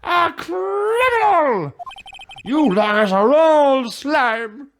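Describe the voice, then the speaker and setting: Blustering villain witness for a game, raised pitch, indignant and taunting, performed by a man. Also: very animated, drawn-out words, a bit bright, slight background noise, full character voice. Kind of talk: comic amateur video game dramatic reading.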